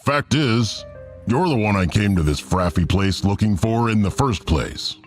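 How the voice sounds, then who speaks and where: A man playing a mocking, sneering stone troll with a gravelly voice in an audio drama. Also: lowish voice, expressive, measured pace, faint music behind. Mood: defensive, sneering.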